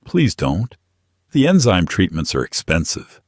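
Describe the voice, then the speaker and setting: A man's voice: low-pitched, huge pitch swings, unhurried, no room sound, clean studio sound, exaggerated voice. A man voicing a guarded, independent shop woman in a romance audiobook, wary and plain-spoken. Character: guarded, independent woman running a dry cleaner. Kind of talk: romance audiobook character dialogue.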